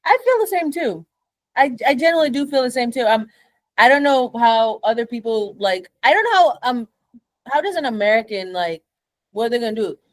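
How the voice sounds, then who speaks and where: A woman's voice: a little high, fairly quick, dry recording. A young woman with a Kenyan accent, co-hosting a podcast in casual chat, blunt and swearing freely as she rants. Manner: blunt, swears freely, animated when ranting. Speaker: podcast co-host.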